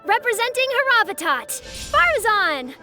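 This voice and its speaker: A woman's voice: extremely high pitch, very animated, normal speed, sharp tone, soft background music, heavily acted. A woman voicing an enthusiastic, formal championship announcer in a video game, polished and ceremonious. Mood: rousing. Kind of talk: video game character acting.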